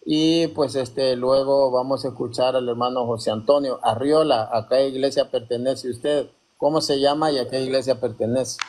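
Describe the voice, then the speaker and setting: A man's voice: medium pitch, fairly even intonation, normal speed, few pauses, darkish tone, rough recording. A man hosting an online debate, asking guests direct questions. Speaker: host. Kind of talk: moderating a debate.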